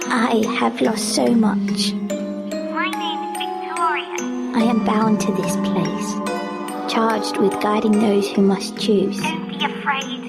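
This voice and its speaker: A woman's voice: on the high side, lively intonation, slow pace. A woman playing a lonely, ghostly little girl in an audio drama, speaking in an eerie, beckoning way. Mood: wistful, eerie. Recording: voice under loud music.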